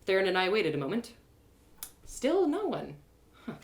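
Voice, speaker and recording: A woman reading her own audio drama aloud live, with an expressive delivery, often laughing at her own lines. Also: mid-range voice, normal speed, vocal fry. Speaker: storyteller.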